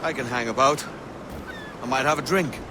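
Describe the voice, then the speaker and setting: A man's voice: medium pitch, moderate pace, noisy background, lightly acted. A man playing a roguish, laid-back pirate in a video game, with a casual, wry manner, music behind him.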